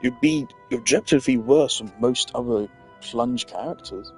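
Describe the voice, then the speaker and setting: A male game streamer talks casually and loosely, as if working things out aloud. His voice sits in a middle register for a man, and soft music plays faintly behind his commentary. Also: medium pitch, moderate pace. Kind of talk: casual rambling gaming commentary.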